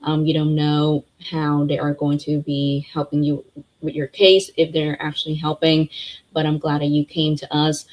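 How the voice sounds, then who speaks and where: A woman's voice: sits low, completely flat delivery, normal speed, a little mellow, poor audio. A woman hosting a live interview, asking questions in a friendly way with many fillers. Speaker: host.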